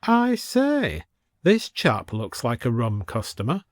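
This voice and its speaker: A man's voice: mid-range voice, wildly swooping pitch, takes it slowly, no echo, studio quality. A man voicing an elderly, blustering retired colonel with an upper-class English accent in a story reading. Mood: excited bluster. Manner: barking, pompous military bluster. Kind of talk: audiobook character voice.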